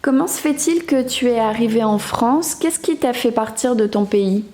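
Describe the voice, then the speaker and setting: A woman asking gentle, inviting interview questions. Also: on the high side, moderate pace, echoey room.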